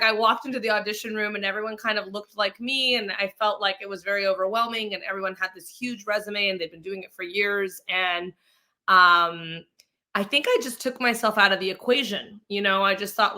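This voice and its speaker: A woman's voice: medium pitch, fairly even intonation, a little fast, bright, forceful tone. A woman from Mexico being interviewed as an actress and producer, telling her story in a casual, chatty, informal way. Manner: chatty, self-quoting, informal.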